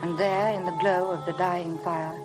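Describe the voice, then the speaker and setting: An actress playing a meek, anxious young wife in a 1950s radio drama, breathless and earnest. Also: female voice, on the low side, fairly even intonation, normal speed, loud music behind the voice, natural voice, low-quality recording. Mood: tense. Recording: vintage 1950 radio broadcast.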